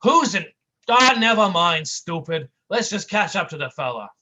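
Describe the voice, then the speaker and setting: A bullying, short-tempered comedy tough guy, voiced by a man, snapping orders in old-timey street slang. His delivery is lively, and his voice sounds bright and sharp. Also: high-pitched, normal speed, pitched above the performer's own voice, full character voice. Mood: gruff, snappy. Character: gruff bullying male slapstick partner.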